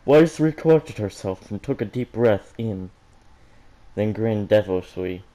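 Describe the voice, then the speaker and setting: A man reading fan fiction aloud as an amateur, halting and stumbling over words, with joking asides. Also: fairly low pitch, animated, mellow, poor audio. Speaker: reader of fan fiction for an online audience.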